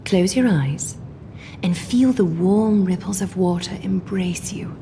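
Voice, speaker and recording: A woman playing a gracious, composed noblewoman in a video game, speaking formally and with soft command. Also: on the low side, a little hiss or hum. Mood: soothing. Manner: formal, measured, softly commanding. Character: poised, courteous noblewoman leader, gracious and composed. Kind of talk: scripted game character dialogue. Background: game music.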